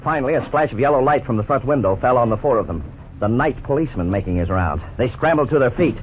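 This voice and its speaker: A man playing an authoritative lawyer who narrates a case history in an earnest, measured 1950s radio style. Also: mid-range voice, lively intonation, natural voice. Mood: earnest, explanatory. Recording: tinny, noisy 1950s radio recording.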